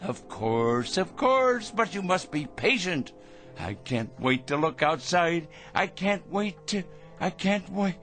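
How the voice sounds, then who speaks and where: An actor playing a pompous, paranoid megalomaniac in a radio drama, with a theatrical, feverishly excitable, ranting manner. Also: male voice, high-pitched, takes it slowly, faint music behind, full character voice. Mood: giddy, exultant.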